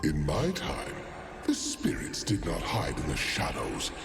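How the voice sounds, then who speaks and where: A man voicing an ancient, arrogant celestial dragon for a video game, pompous, gravelly and condescending. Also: rumbling low, huge pitch swings, heavily acted. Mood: lofty, boastful. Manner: pompous, haughty, theatrical, slow. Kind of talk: video game character voice lines. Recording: reverberant hall effect, faint music.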